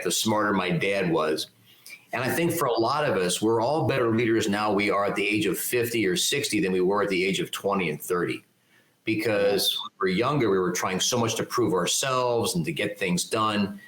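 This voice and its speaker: An older man with a fairly low voice, talking loud and clear and laying out his points with emphasis. He is recorded in a roomy, echoey space. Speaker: keynote speaker and leadership mentor. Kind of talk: interview answers, conversational.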